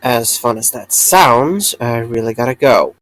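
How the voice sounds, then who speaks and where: A man's voice: medium pitch, lively intonation, measured pace, fairly bright tone, no room sound. A man playing a jumpy, apologetic former security guard in a fan audio drama, hesitant and stammering. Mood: nervous pleading.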